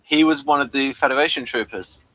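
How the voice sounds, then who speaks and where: A man's voice: medium pitch, a little fast, pushed and bright, dry recording, poor audio. A man hosting a casual review discussion in an informal, conversational way. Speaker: host.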